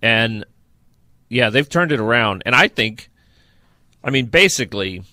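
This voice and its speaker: Bright, lively man talking football. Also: medium pitch, unhurried, no echo, studio quality. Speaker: sports podcast host. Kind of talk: casual football analysis chat.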